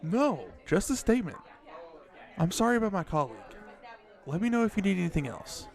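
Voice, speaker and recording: Man acting a level-headed, higher-voiced cafe worker. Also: sing-song, vocal fry, darkish tone, heavily acted. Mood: calm, cautious. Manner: measured, reasoning, restrained. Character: level-headed, placating male cafe colleague. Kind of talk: audio drama dialogue.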